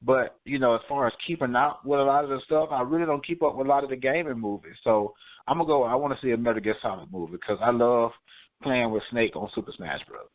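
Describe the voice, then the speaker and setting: A man's voice: mid-range voice, fast, no room sound. A man chatting casually as a panelist, somewhat hesitant and tentative in how he talks. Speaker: podcast panelist. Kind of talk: casual chat. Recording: slightly dull, lo-fi audio.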